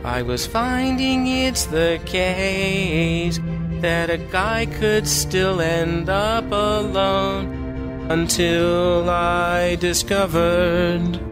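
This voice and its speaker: A man's voice: sits high, very slow, loud music behind the voice. A man acting as a smitten commanding starship officer in a musical audio drama. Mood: elated singing.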